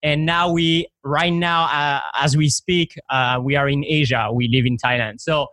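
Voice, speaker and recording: A man with a Belgian accent, a guest on a podcast, talking in a relaxed, conversational way with many fillers. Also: fairly high pitch, measured pace, no echo. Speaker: guest, software company owner. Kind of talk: casual interview chat.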